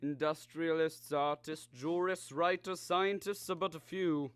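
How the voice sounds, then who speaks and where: Man acting a coolly superior alien visitor, crystal clear. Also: on the high side, steady tone, no echo, natural voice. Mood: superior, grave. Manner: formal, measured, condescending. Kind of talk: old-time radio drama acting.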